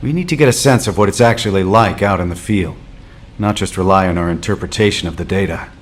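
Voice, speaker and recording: A man's voice: deep voice, fast talker, close to an everyday voice. A man playing a composed military superior in a video game cutscene. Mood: measured. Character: composed male military superior giving orders. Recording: clear ambient noise behind voice.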